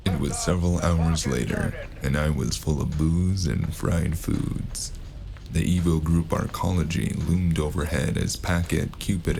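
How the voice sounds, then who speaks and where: A man playing a world-weary, wisecracking noir detective with a gravelly voice and a dry, sardonic, laconic delivery in an audio drama. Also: a bass voice, darkish tone. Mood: brooding narration. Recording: noisy sound bed.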